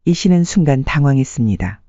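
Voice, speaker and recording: A man narrating a scripted family story in a measured audiobook delivery. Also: mid-range voice, big pitch swings, mellow, dry recording, lo-fi sound.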